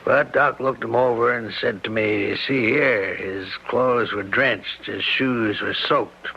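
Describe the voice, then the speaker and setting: An actor playing a folksy, plain-spoken small-town sheriff who quietly probes, in a radio mystery drama. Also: male voice, medium pitch. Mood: casually probing. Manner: plain-spoken, rural phrasing, deliberate. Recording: dull lo-fi radio recording with hiss.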